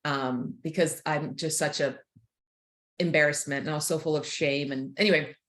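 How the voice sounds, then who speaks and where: A woman in her forties from Croatia, telling her life story frankly as a podcast guest, laughing easily and going quiet on painful parts. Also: low-pitched, fairly even intonation, moderate pace. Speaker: guest, author and speaker. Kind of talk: candid personal storytelling. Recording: roomy echo.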